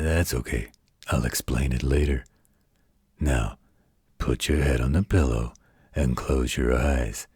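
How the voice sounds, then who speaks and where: A man voicing a gruff, gentle, protective werewolf who tells a bedtime story in a folksy, patient, gravelly voice. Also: no room sound, clean studio sound, exaggerated voice. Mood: patient, amused, then gently firm. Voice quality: deep, gravelly, husky. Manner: folksy, slow, patient drawl with colloquial turns. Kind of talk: bedtime story roleplay audio.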